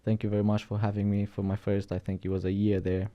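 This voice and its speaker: A man's voice: on the low side, completely flat delivery, fast talker, dull audio. A man from Romania with a Romanian accent, chatting as a podcast guest and telling stories about his career, often restarting and adding "right?". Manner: chatty, storytelling, frequent restarts and "right?" tags. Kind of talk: casual interview chat.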